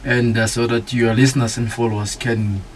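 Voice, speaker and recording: A man hosting a community radio interview in a studio, speaking politely with many pauses and fillers. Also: medium pitch, level tone, slight background noise, poor audio. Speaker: radio host.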